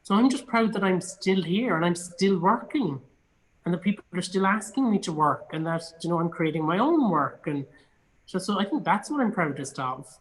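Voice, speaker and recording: A man's voice: high-pitched, lively intonation, normal speed, rough recording. A man in his thirties with a Cork Irish accent, chatting casually and emphatically in an interview, with a self-deprecating way of telling stories. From Ireland. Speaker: interview guest, actor.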